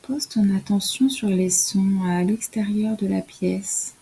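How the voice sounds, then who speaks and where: A woman leading a scripted guided relaxation in a soft, soothing, instructional way, as a yoga nidra guide. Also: mid-range voice, fairly even intonation, takes it slowly, creaky, slightly rough.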